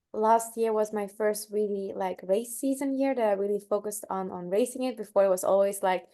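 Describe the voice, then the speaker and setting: A woman speaks in a middle pitch, describing her work as a running coach in an easygoing way. Her talk is loose and filled with "like" and "um". Nothing in her delivery is showy. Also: a little mellow. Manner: casual, with frequent "like" and fillers. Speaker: online running coach and athlete. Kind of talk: casual webinar talk.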